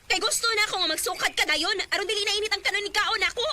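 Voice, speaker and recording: A woman's voice: extremely high pitch, very fast. A woman playing a harsh, bossy, nagging mother with a Cebuano Filipino accent in a radio drama. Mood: scolding, impatient. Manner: scolding, curt, bossy. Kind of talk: Philippine radio drama acting. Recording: faint background noise.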